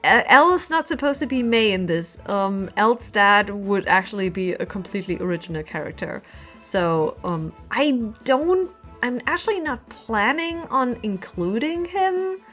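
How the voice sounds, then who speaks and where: A woman giving casual Q&A answers to her viewers as a webcomic artist, in an informal, animated and emphatic way. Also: mid-range voice, moderate pace, faint music behind. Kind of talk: casual Q&A vlog chat.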